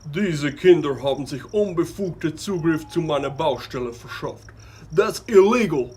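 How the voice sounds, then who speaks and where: A slick, lying tycoon in a children's audio drama. His voice is husky and a little high for a man. He has an English accent and mixes in English words as he smugly talks his way out. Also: lively intonation, moderate pace, mellow. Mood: indignant. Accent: English-accented German. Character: slick, deceitful businessman, smugly denying wrongdoing.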